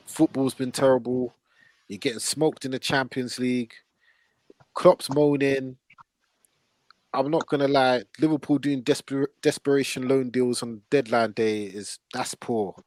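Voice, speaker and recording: A man's voice: mid-range voice, fairly even intonation, measured pace, long pauses, dry recording. A man hosting a casual football panel chat, blunt and full of slang. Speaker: podcast host.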